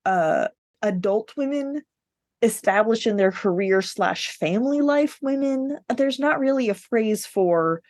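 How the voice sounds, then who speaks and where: A woman's voice: a little high, lively intonation, unhurried, a little mellow, dry recording, studio quality. A woman co-hosting a podcast in casual book chat, informal and wry, with the odd sweary aside. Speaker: podcast co-host.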